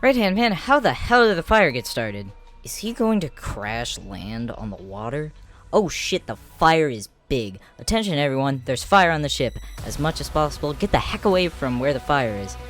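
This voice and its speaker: Man acting an anxious, higher-pitched gang member. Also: big pitch swings, normal speed, fairly bright tone. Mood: alarmed. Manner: urgent, exclamatory. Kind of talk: comic dub character acting. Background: music.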